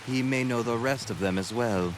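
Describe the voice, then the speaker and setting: An actor playing an earnest, loyal ally in historical Japan in a video game cutscene, speaking in a halting, deliberate way. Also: male voice, mid-range voice, lightly acted. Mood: grave. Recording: hiss and rain noise behind.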